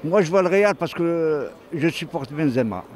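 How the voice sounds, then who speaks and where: A man's voice: a little high, animated, moderate pace, a little mellow. An older man, a football fan, defending his team to a street interviewer outdoors. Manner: defensive, protesting. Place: city plaza outdoors.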